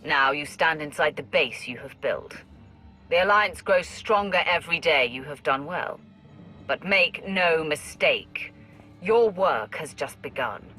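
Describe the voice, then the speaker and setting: An actress playing a stern, imperious Sith warrior commanding an alliance in a dramatic video game. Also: female voice, low-pitched, lively intonation, unhurried, soft background music, full character voice. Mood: stern, rousing. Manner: imperious, forceful.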